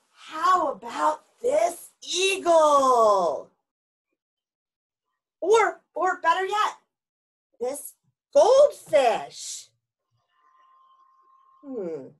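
A woman's voice: extremely high pitch, wildly swooping pitch, very slow, pauses often, full character voice. A woman playing an eager, persuasive animal shelter worker in a children's storybook, with coaxing, exaggerated delivery. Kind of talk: theatrical children's storybook read-aloud.

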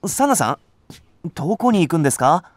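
A man's voice: a little high, very animated, moderate pace, no room sound. A man voicing an earnest young rookie nursery teacher in a voice drama, polite and enthusiastic. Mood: earnest concern. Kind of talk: Japanese voice drama acting.

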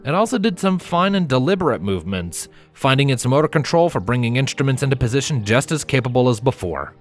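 A man giving an expressive scripted narration of a story as its narrator. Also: mid-range voice, quick pace, faint music behind.

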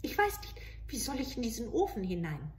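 Little storybook girl, voiced by a woman: raised pitch, earnest, pleading, plucky. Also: huge pitch swings, fairly quick, a little mellow, reverberant, slight background noise. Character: young girl Gretel, pleading yet plucky and cunning.

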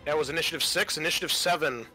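A man's voice: on the high side, rapid-fire, sharp tone, rough recording. A man acting as game master, explaining rules and narrating action casually and a bit hesitantly. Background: music behind the voice.

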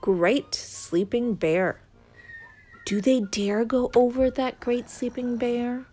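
A woman narrating a picture book aloud in a deliberate, suspenseful storytelling manner for young children. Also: fairly high pitch, steady tone, mellow. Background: music.

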